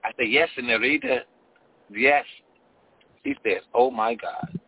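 A man's voice: medium pitch, unhurried, husky. A man putting on a Mexican accent as a boastful caller in disguise who keeps saying "my friend.". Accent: put-on Mexican. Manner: repeats "my friend", playful bragging. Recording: thin phone line.

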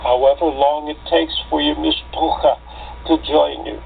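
Guy doing a worried loving village dad in a radio play, creaky voice, earnest, kinda noisy recording. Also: a little high, fairly even intonation, normal speed. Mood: earnest, persuading. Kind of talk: amateur radio play acting. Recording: tinny phone-like line with noise.

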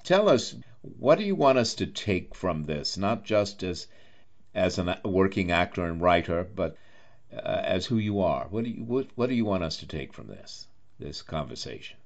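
Effusive man, low-voiced interviewer. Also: very animated. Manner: effusive, halting with repeated phrases. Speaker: interviewer, host; actor and director. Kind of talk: warm conversational interview. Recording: dull lo-fi online-call sound.

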